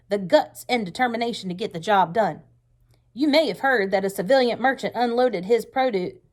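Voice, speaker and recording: A woman's voice: fairly high pitch, pitched above the performer's own voice, close to an everyday voice. A woman reading a storybook aloud, voicing a fierce, hard-driving male wartime Navy commanding officer with stern, emphatic delivery. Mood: angry, resolute. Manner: stern, forceful, emphatic speech-making. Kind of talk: read-aloud storybook character voice.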